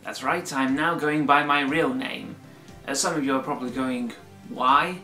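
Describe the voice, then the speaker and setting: A man vlogging to his viewers, earnest and emphatic, his voice still slightly croaky after flu. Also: mid-range voice, fairly even intonation, moderate pace, bright, forceful tone, reverberant, soft background music. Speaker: YouTuber. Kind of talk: casual vlog monologue.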